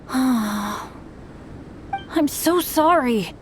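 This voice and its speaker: Earnest boyish young adventurer. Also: female voice, sits high, expressive, very slow, a little hiss or hum, exaggerated voice. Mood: guilty, dejected. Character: earnest, unlucky teenage boy adventurer, apologetic, self-blaming. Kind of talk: animated video game voice acting.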